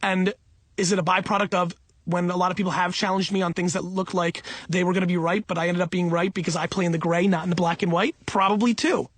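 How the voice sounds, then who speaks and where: A man's voice: high voice, fairly even intonation, quick pace, fairly bright tone, no echo. A middle-aged man talking off the cuff for an audiobook in an emphatic, self-assured, blunt and conversational way. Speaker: entrepreneur and company CEO reading own audiobook.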